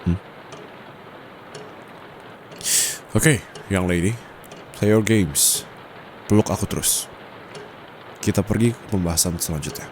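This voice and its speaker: An Indonesian-accented man playing a stern, quietly dominant young private tutor in an ASMR roleplay, speaking formally and scolding, with bits of English mixed in. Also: on the low side, a little hiss or hum, lightly acted. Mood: stern warning. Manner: firm, scolding, formal, slips into English phrases. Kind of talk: ASMR roleplay, close intimate acting. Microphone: close condenser microphone.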